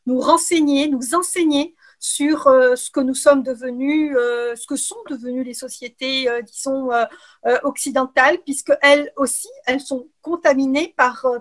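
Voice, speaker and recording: Hesitant high-voiced woman guest. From Algeria. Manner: measured, many hesitations. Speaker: interview guest, writer and political scientist. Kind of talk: interview answers.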